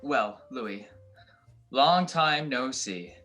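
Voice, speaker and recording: A man's voice: mid-range voice, lively intonation, very slow, sharp tone, faint music behind, exaggerated voice. A man playing a tough, vengeful detective in an old radio-style crime drama, curt and threatening. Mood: curt, menacing. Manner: curt, threatening, hard-boiled. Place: recorded at home over a video call.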